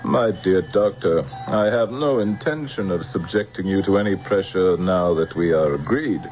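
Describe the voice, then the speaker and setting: A man acting a low-voiced, menacing scheming general. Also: normal speed, close to an everyday voice. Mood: smug, probing. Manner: silky, formal, threatening. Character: menacing, soulless, suave male general scheming for power. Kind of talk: 1970s radio drama acting. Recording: muffled vintage radio recording with music.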